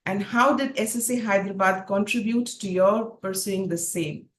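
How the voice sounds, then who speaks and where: A woman's voice: mid-range voice, normal speed. Formal woman moderator in an echoey room. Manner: formal and gracious. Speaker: panel moderator. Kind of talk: reading panelist introductions. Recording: echoey room with faint noise.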